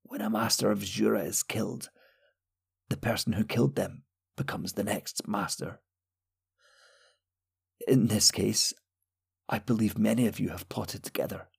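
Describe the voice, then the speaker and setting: A frail old wizard on the edge of death, his voice thin, gravelly and heavily husky, as a male narrator puts him on. He speaks slowly and solemnly, weighing every word through his pain. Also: fairly low pitch, fairly even intonation, heavily acted. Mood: weary. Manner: slow, solemn, pleading.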